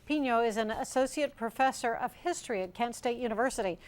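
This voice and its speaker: A woman's voice: fairly high pitch, fast. A woman with an American accent reading scripted news as a television anchor.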